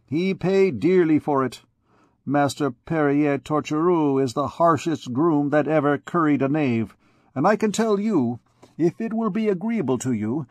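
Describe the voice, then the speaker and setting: A man's voice: fairly high pitch, no echo, close to an everyday voice. A man voicing a swaggering young army captain who talks in a boastful, blustering way.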